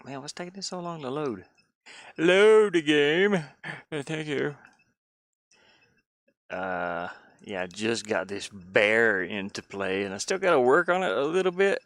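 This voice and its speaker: A man's voice: mid-range voice, animated, measured pace, a bit bright, dry recording, clean studio sound. A man chatting casually on a livestream as he shows his own game in development, easygoing and rambling, often trailing off. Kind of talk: casual livestream chat while demoing a game.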